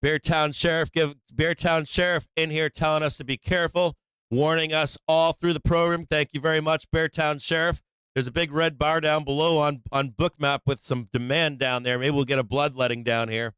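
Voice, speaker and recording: Man, smooth clear voice, dry close sound, live stream host, casual market commentary, blunt, slangy, sweary. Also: fairly high pitch, level tone, normal speed, fairly bright tone, dry recording, rough recording. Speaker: live stream host of a stock trading show.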